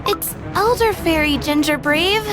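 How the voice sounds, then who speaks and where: A woman voicing a pensive, cautious cookie adventurer in a game, with a thoughtful, measured manner. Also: very high-pitched. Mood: urgent. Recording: music behind the voice.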